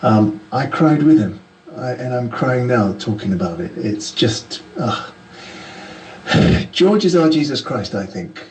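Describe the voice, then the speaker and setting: A man who grew up in Ghana, calling in to a radio show with an earnest, measured, heartfelt message. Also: medium pitch, expressive, reverberant, far from the mic, a little hiss or hum, poor audio. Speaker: caller sending a message to the show.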